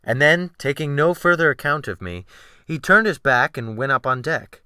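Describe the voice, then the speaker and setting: Male narrator for classic fiction: theatrical, deliberate phrasing, animated intonation, medium pitch, dry close sound. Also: smooth, no room sound. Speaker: audiobook narrator. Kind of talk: dramatic reading of classic fiction.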